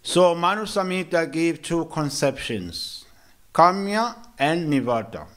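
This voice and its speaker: Slow, measured South African man preaching. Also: a little high, expressive. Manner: slow, measured, with hesitant restarts and gentle humor. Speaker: monk giving a morning lecture. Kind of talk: sermon. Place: temple hall.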